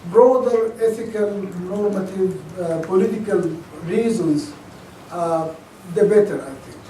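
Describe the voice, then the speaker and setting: A man from Sudan with a Sudanese accent, giving an academic lecture in a thoughtful, halting way full of restarts. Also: sits high, warm tone, slight background noise. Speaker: guest lecturer. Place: lecture auditorium. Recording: echoey auditorium, mic at a distance.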